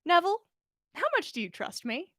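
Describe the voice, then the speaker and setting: A confident young woman from a storybook, played by a woman reader, brisk and teasing with her friend. Her high voice swings widely in pitch and sounds dry and close. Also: dry recording, full character voice. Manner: lively, direct, teasing.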